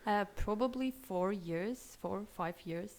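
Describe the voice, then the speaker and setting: A woman, a guest in a casual interview, speaking hesitantly with many fillers and pauses. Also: medium pitch. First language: Armenian.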